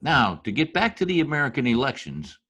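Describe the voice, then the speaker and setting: A man's voice: mid-range voice, wildly swooping pitch, brisk, sharp tone, slightly rough, exaggerated voice. A man playing a deferential, persuasive political adviser who schemes for a dictator in an old-time radio comedy. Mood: scheming, persuasive. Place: home room, recorded over video call.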